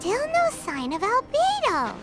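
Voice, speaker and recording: Tiny fairy companion, childlike squeak, hugely animated pitch, excitable, game voice acting, loud background music. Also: female voice, unhurried, heavily acted. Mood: puzzled. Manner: exclamatory, refers to self in third person.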